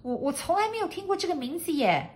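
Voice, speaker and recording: A woman's voice: high voice, very animated, a little slow, a little hiss or hum, pitched above the performer's own voice. A woman voicing an eager, polite, plucky housekeeper in a storybook, with a chirpy manner. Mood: doubtful. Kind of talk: storybook character acting.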